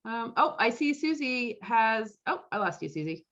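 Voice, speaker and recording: A woman's voice: on the high side, big pitch swings, normal speed, fairly bright tone, highs cut off. A woman casually hosting an online group meeting, chatting and reacting to guests over a video call. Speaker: host of an online fan club meeting.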